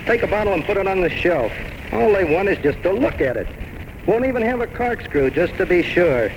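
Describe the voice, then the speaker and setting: A man's voice: high-pitched, animated, brisk, lo-fi sound. Barman bourru et désabusé, son bruité. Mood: wry. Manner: blunt, folksy, ungrammatical working-class speech. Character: plain-spoken, world-weary male New York bartender. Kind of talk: old-time radio drama acting. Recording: noisy vintage radio recording.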